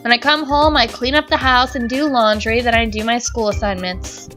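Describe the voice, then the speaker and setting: Southern woman reading a lonely student heroine's inner thoughts, soft and reflective, pitched a bit high. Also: fairly even intonation, moderate pace, faint music behind, lightly acted. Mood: weary. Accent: Southern American. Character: young woman college senior, lonely, searching for her dream. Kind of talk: reading game text aloud.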